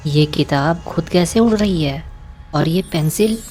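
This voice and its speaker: Woman voicing a panicky, stammering schoolboy, mellow tone. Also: sits low, measured pace. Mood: scared, bewildered. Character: frightened schoolboy pleading against cursed drawings. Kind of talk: cartoon story voice acting.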